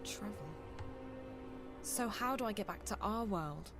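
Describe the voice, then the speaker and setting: Young game heroine, confused, earnest, halting speech, middle pitch, music behind. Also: female voice, animated. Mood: puzzled. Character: bewildered, earnest young woman lost in another world. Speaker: video game character. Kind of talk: video game cutscene acting. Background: background music.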